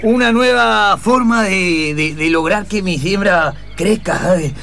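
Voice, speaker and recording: A man playing a folksy, pushy rural neighbour in an improvised radio play, coaxing in a halting, persuasive way. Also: high voice, gravelly, fairly bright tone, a little hiss or hum, full character voice.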